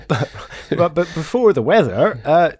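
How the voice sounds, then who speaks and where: A man's voice: on the high side, sing-song, a little slow, a little mellow, slightly rough. A man hosting a casual podcast over a remote call, teasing his co-host, just getting over a cold. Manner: teasing, jokey. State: recovering from a cold. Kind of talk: casual chat.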